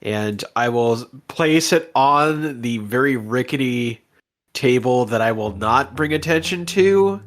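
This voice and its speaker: A man's voice: mid-range voice, animated, slow. A man playing a tabletop game, talking through his moves in casual role-play banter.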